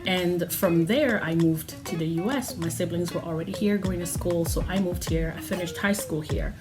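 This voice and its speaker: A woman's voice: on the low side, moderate pace. A woman, a Lingala speaker, chatting casually to camera at home and laughing often. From Democratic Republic of Congo. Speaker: vlogger. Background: background music.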